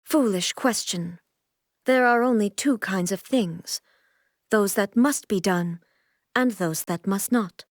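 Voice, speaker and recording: An actress voicing a solemn, aloof immortal shogun and swordswoman in a video game, with formal, measured, stately delivery. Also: medium pitch, no echo, clean studio sound, natural voice. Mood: stern, cold. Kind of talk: video game character voice lines.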